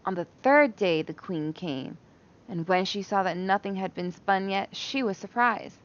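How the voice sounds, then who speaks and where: A woman's voice: mid-range voice, unhurried. Woman reading a bedtime story, dull sound. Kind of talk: expressive bedtime story read aloud for children.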